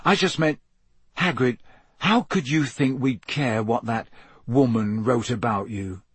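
A man's voice: medium pitch, measured pace, breathy edge, no room sound. A man voicing an earnest, loyal teenage boy who speaks urgently.

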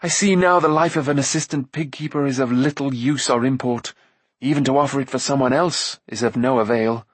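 A man's voice: mid-range voice, steady tone, fairly quick, breathy edge, dry recording, close to an everyday voice. A man voicing an earnest, loyal young pig-keeper hero in a fantasy storybook, heartfelt and full of self-doubt. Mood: bitter regret.

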